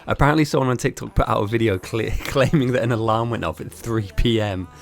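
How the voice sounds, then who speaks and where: A man's voice: mid-range voice, fast talker, vocal fry. A man with an English accent who grew up speaking German and Italian, streaming a game and chatting loosely with viewers while reading their messages. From grew up in Germany and Italy. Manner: rambling, chatty, laughs often. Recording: faint music behind.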